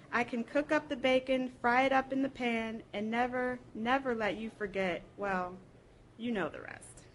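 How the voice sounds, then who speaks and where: A woman giving a dramatic first-person literary reading aloud, with an expressive, theatrical delivery. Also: on the high side, slight background noise. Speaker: reader at a library program.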